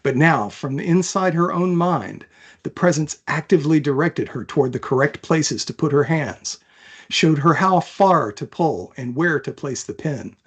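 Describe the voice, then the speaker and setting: A man's voice: fairly high pitch, expressive, a little slow, husky. A man reading his own fantasy novel aloud as dramatic, scripted narration.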